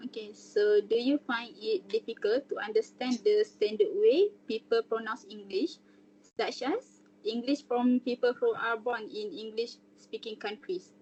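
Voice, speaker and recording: A woman interviewing a student, asking questions slowly and carefully. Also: on the high side, steady tone, darkish tone, husky. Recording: dull lo-fi recording.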